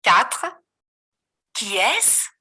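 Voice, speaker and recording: A woman voicing a scripted beginner dialogue for a French textbook, slow and clearly articulated. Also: medium pitch, sing-song, bright, forceful tone. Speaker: voice on course audio.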